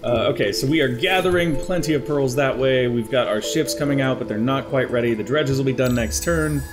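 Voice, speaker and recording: A young man talks through his strategy game turn by turn, thinking aloud in a chatty, easygoing way. His voice is a little congested from allergies and sits at a middling pitch. Faint game music plays under his headset mic. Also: a little fast. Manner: chatty, thinking aloud while planning moves. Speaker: gaming commentator. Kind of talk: let's play strategy game commentary.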